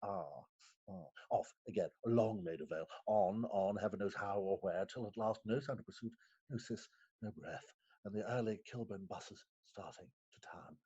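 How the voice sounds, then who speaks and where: An actor playing a flustered, guilty, respectable magistrate in a theatrical comic reading, stammering and hesitant with a husky voice. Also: male voice, lowish voice, normal speed, dark tone. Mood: weary, self-reproaching. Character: flustered, guilt-ridden, respectable London magistrate, disheveled after a night out. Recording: dull lo-fi remote recording.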